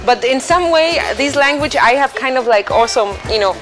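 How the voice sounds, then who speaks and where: A woman's voice: high-pitched, lively intonation, normal speed. A woman in her thirties with a Greek accent, a musician answering interview questions thoughtfully, with many fillers and restarts. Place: dim restaurant or bar, indoors. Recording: soft music underneath.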